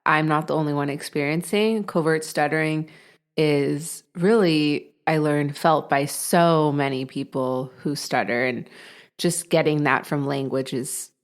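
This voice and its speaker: A woman hosting a podcast interview, calm and measured as she asks questions and reflects. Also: deep voice. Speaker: podcast host.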